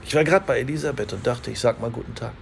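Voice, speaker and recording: An actor playing a genial, fatherly older police colleague in a radio play, familiar in manner, with a creaky voice. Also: mid-range voice, fast, a little hiss or hum, lightly acted. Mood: familiar, probing.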